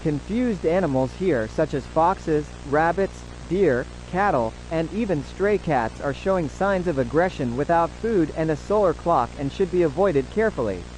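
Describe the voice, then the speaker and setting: A man playing a formal, solemn government announcer, reading a scripted emergency broadcast in a measured way. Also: a little high, animated, a little mellow, slight background noise, close to an everyday voice, low-quality recording. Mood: solemn, instructive.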